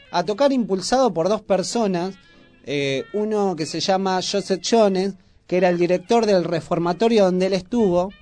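A man's voice: sits high, darkish tone. A man with a Buenos Aires Argentine accent presenting casual music talk on the radio, explaining things in an informal, hesitant way.

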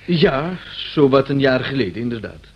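An actor playing an eccentric young student tenant in a radio play, polite but innocently defiant. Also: male voice, mid-range voice, animated, moderate pace, husky, lo-fi sound. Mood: polite, meekly stubborn.

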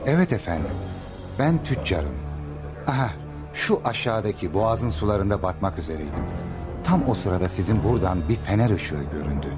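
A male actor playing a hesitant, grateful foreign merchant in a radio play, speaking haltingly. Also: low-pitched, very animated, music in the background. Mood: nervous, grateful. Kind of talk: Turkish radio theatre acting.

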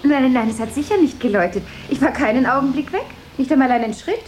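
Chattering, animated secretary, high voice. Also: female voice, fast talker. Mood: flustered, coy. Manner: rambling, babbling small talk, eager to placate. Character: chatty, flighty, deferential female office secretary. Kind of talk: 1970s German radio play acting. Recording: noisy 1970s radio drama recording.

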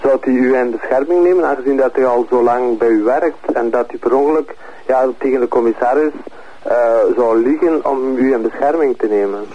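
A mid-pitched Flemish man puts blunt questions as an interrogator. He phrases them haltingly, and the recording is slightly dull and lo-fi.

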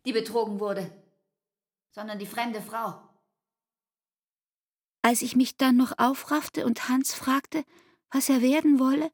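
Radio drama: hurt young woman in love. Intimate, reproachful, breathy with some fry, recorded dry. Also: fairly high pitch, darkish tone, no room sound. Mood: bitter, reproachful. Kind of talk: literary radio drama acting.

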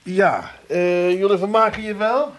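An actor playing a sheepish, chatty grown-up son in a comic radio play, husky and casual. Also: male voice, sits high, measured pace. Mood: casual, wheedling.

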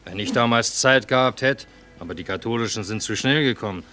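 A man's voice: mid-range voice, fairly quick, sharp tone, soft background music, lightly acted. A man playing a wry, grumbling army cook out of work, in a colloquial, teasing radio drama style. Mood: dry, knowing. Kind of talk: theatrical radio drama acting.

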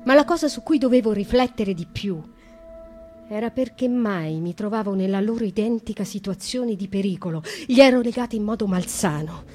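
An actress in a radio drama playing a haunted young woman who tells her dark dreams in slow, intimate, hushed narration, with faint music beneath. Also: medium pitch, close to an everyday voice. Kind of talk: theatrical radio drama monologue.

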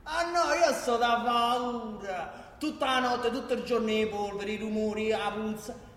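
A bitter working-class local with a thick Roman accent in a radio drama. His voice is pitched very high, indignant and sarcastic. Long hall reverb rings around it. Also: unhurried, fairly bright tone. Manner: sarcastic, indignant, listing grievances. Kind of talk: theatrical radio play dialogue. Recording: echoey hall.

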